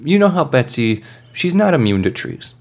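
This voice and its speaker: A man's voice: medium pitch, sing-song, normal speed. A man playing a bantering, coaxing starship captain in a tabletop roleplay, mock-earnest and given to dramatic emphasis. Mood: patient, coaxing.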